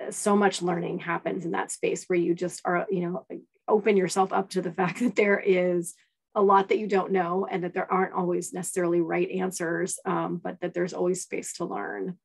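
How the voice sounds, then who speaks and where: A woman's voice: on the low side, creaky, darkish tone, husky. A woman in a workshop discussion on a video call, thinking aloud in a reflective way. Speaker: workshop participant.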